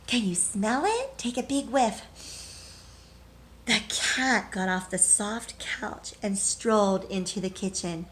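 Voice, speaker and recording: A woman teacher reading a storybook aloud expressively, pausing to put questions to young listeners. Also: medium pitch, lively intonation, fairly bright tone, a little hiss or hum.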